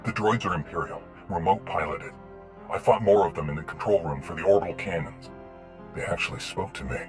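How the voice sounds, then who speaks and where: Guy acting deep serious game hero commander, short firm lines, music behind. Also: a little fast, close to an everyday voice. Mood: resolute. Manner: terse, serious. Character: steady, decisive male military commander hero.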